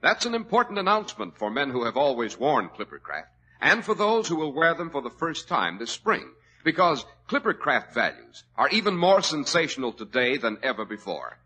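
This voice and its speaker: A man announcing and reading sponsor copy on a 1940s radio show, brisk and salesy. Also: on the high side, big pitch swings, bright, forceful tone, breathy edge, low-quality recording. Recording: old radio broadcast recording.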